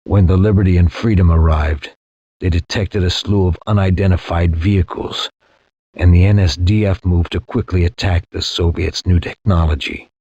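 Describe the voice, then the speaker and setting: A very low, husky male voice plays a war-weary soldier recounting his campaign. He speaks gravely and reflectively, like a dramatic memoir in a video game cutscene. The sound is dry and a little dull. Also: fairly even intonation, normal speed, mellow, no room sound. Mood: grave, tense. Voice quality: deep, husky. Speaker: narrator.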